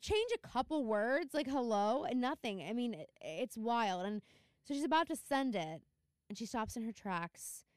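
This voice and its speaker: A young woman co-hosting a podcast, chatting casually about a TV episode, animated, laughing often and swearing freely. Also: fairly high pitch, moderate pace, clean tone, no echo. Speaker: podcast co-host. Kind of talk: casual chat recapping a TV episode.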